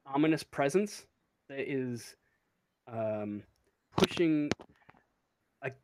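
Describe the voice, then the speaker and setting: A man's voice: mid-range voice, animated, takes it slowly, darkish tone, no echo, rough recording. A man narrating a tabletop role-playing game as game master, improvising and searching for his words.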